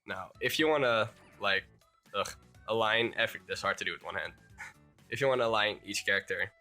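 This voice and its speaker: Casting note: young Dutch man, slightly low, bright and forceful tone, under the weather, faint music underneath. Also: a little fast. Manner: rambling, hesitant with restarts. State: sick. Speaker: YouTuber. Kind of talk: game tutorial voice-over.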